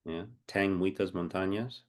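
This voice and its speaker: Male host-teacher, lower register, patient simple questions. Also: steady tone. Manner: slow, simple questions for a learner. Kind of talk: casual chat and oral interview.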